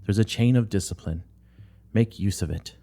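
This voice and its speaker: A man's voice: on the low side, moderate pace, dark tone. A man voicing a strict older nun who runs a school, speaking curtly and moralizing in a play reading. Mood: severe, lecturing. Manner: curt, severe, moralizing.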